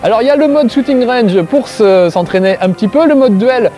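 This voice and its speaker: A man's voice: very high voice, lively intonation, fairly quick, mellow, faint music behind. A man with a Corsican accent, hosting a video game show and commenting as he plays, joking and mocking himself. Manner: joking, self-mocking, chatty. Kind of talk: casual gameplay commentary to camera. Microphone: close framing, tight on face.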